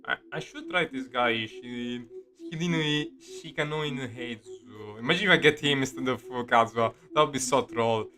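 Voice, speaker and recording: A man's voice: medium pitch, moderate pace. A man giving casual, rambling gameplay commentary as a streamer, with muttered asides and some swearing. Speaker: game streamer. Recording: loud game music behind voice.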